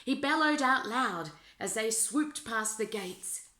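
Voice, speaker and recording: A woman reading rhyming children's verse aloud as an expressive storyteller. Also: fairly high pitch, slow pace. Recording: roomy, echoing indoor sound.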